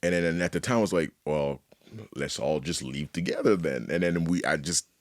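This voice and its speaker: Deep-voiced man from Haiti, candid storyteller. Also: fairly quick, no room sound, clean studio sound. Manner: animated, repeats "you know what I mean". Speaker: podcast guest, artist. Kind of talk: casual, candid interview storytelling.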